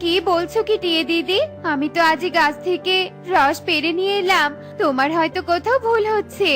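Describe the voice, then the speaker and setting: A shrill, whiny cartoon bird, voiced by a woman, who is greedy, jealous and quick to run away. Her very high voice wheedles and complains. Bright children's music plays under her. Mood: scheming. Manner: whiny, scheming. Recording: with light background music.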